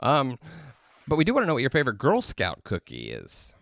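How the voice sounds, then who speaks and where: Gravelly, dry-humored man. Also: mid-range voice, wildly swooping pitch, a little fast, fairly bright tone. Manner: dry, joking. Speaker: co-host. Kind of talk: casual chat.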